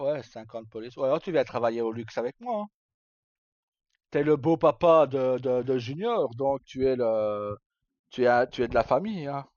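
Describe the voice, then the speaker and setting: A man's voice: mid-range voice, darkish tone, dry recording, dull audio. A man hosting a livestream, chatting informally and casually with some mild swearing. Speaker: livestream host. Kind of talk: casual chat.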